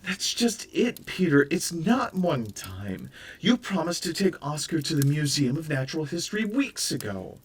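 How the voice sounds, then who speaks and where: A man's voice: a little high, big pitch swings, normal speed, hardly any pauses, slightly rough, pitched above the performer's own voice, exaggerated voice. A man voicing a stern, exasperated young mother who scolds firmly and reproachfully. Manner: firm and reproachful. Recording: voice a bit far from the mic.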